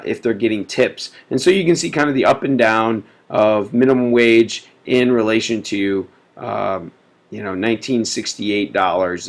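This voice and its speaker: A man giving a casual recorded history lecture, full of filler words, sick and sniffly. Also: medium pitch. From United States. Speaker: teacher.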